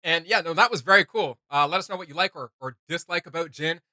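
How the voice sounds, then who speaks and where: A man co-hosting a casual reaction video, talking in an animated, rambling way and searching for words aloud. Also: fairly high pitch, fast, no room sound. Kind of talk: casual rambling discussion to viewers.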